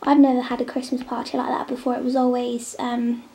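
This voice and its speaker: A young woman with a head cold talks casually as she draws at a table at home, now and then sinking into a near-whisper. Her voice sits high but stays level and mellow, with a gravelly edge of vocal fry. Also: little pitch movement, brisk. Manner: chatty, drifting between soft near-whispers and normal talk. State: snotty with a cold. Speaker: vlogger drawing on a tablet. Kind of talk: casual chat while drawing. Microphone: camera on the table.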